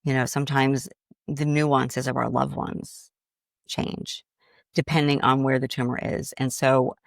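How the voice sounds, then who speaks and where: A middle-aged woman with a faint Boston accent and a gravelly edge, talking in a lively, storytelling way. Her voice is close and dry, with no room sound around it. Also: very deep voice, measured pace, no echo. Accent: slight Boston. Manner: animated storyteller, acts out inner voices. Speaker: grief coach guest. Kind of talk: casual podcast conversation.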